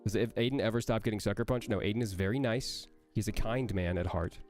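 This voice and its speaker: A man's voice: on the low side, quick pace, darkish tone, soft background music. A young man, a voice actor, reading out and answering chat questions on a livestream, with a nasal voice that sounds like he has a cold, playful and joking.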